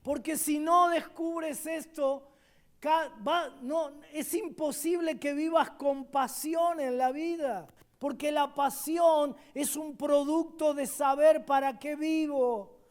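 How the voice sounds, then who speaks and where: A man with an Argentine accent preaching a sermon as a guest pastor at a church service. He swings between loud, emphatic declarations and quiet asides. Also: extremely high pitch, steady tone, slow pace.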